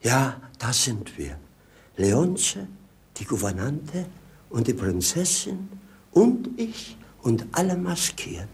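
A man's voice: mid-range voice, very animated, slow pace. An actor playing a masked fool in a 1950s radio play, rambling like a theatrical showman. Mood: sly announcing.

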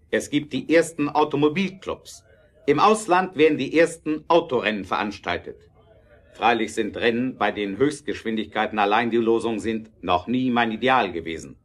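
A man's voice: medium pitch, a bit bright. Radio play role: earnest businessman-inventor, middle register, formal, emphatic delivery. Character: earnest, determined male automobile inventor and businessman. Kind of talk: audio drama acting.

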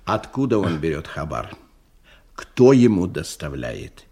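A man's voice: deep voice, sing-song, a little slow, a little mellow, husky, exaggerated voice. A man in a Russian radio drama playing a menacing, condescending crime boss who questions impatiently and broods. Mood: threatening, commanding. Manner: bullying, interrogating, repeats himself broodingly.

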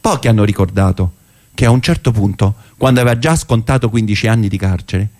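A man performing a theatrical narrative monologue in a conversational, ironic manner with rhetorical pauses. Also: lowish voice, a little mellow. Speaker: stage actor and monologist.

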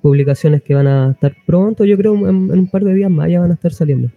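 A man's voice: on the high side, quick pace, soft background music. A man with a Chilean accent talking casually as an interview guest, hesitant and searching for his words. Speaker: interview guest from an independent music label. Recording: thin phone-like band-limited sound.

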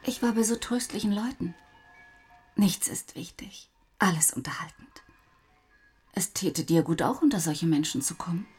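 An actress playing a capricious, affectionate young wife in a radio play, intimate and playful, often slipping into a breathy murmur. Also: female voice, on the low side, animated, normal speed, long pauses, darkish tone, faint music behind. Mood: intimate, teasing. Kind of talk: German radio play acting.

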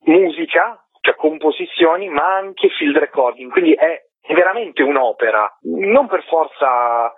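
Husky man on a tinny phone line. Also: fairly high pitch, expressive, unhurried, few pauses, no echo. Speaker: interviewed documentary co-director. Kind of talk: phone interview, explanatory talk. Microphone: telephone.

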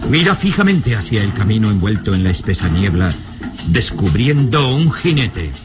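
A man's voice: lowish voice, very animated, normal speed. A man narrating a radio serial in a dramatic, scripted style, solemn and suspenseful, with music behind.

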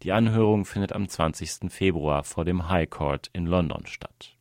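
Male article reader, deep register, scripted narration, very dry acoustic. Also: normal speed, no room sound. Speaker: reader of a translated magazine article. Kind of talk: scripted narration, read aloud.

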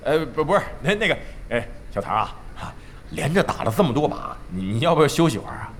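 A man's voice: on the high side, sing-song, fast talker, a little hiss or hum. A man playing a brash, boastful veteran gamer in a radio drama, blustering, theatrical and crude. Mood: flustered. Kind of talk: Chinese radio drama acting. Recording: music behind the voice.

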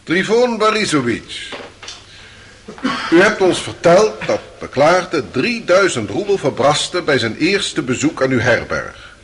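An actor playing a formal, dry courtroom examiner in a radio play, measured and questioning. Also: male voice, fairly high pitch, sing-song, a bit bright, slight background noise, full character voice. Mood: formal, probing. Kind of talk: dramatic radio play acting.

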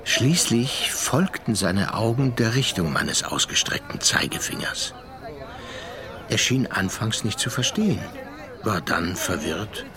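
A thoughtful seaman narrator in a radio drama. His mid-pitched voice is measured and articulate, with literary phrasing and a wry edge, set against clear music.

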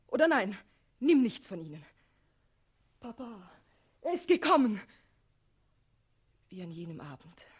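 An actress playing a tender, suffering young maiden, breathy and emotional, in a radio play. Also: female voice, medium pitch. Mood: overwhelmed. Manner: emotional, lyrical stage delivery. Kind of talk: 1960s German radio play acting.

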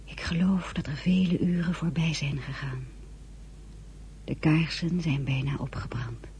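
Hushed, mellow young heroine. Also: female voice, deep voice, fairly even intonation, a little slow, a little hiss or hum. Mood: somber. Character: earnest, tender young woman recounting her story. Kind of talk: dramatic first-person narration, radio acting.

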